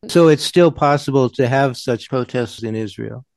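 A man's voice: medium pitch, moderate pace, creaky, darkish tone, dry recording. A man interviewing a guest with probing, conversational questions. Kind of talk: radio interview.

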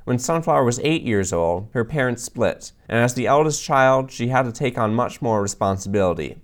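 A man hosting a podcast, reading scripted narration in an even, measured way. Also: medium pitch. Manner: measured, even read-aloud delivery. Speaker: podcast host, librarian.

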